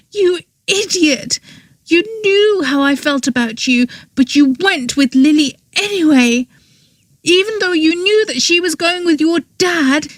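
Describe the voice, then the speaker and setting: A woman's voice: extremely high pitch, dry recording, voice pushed up, heavily acted. A woman voicing a furious, tearful young woman accused of murder, shrieking and bitter. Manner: shrieking, bitter, near tears.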